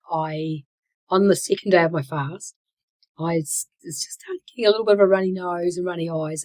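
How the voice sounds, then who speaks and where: Woman in her 60s, hoarse from a cold, raspy croaky voice, lots of ums and restarts, slips into a whisper now and then. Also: fairly low pitch, a little mellow, rough recording. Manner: hesitant, many fillers and restarts, drops to a whisper at times. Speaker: channel host. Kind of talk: casual vlog talk.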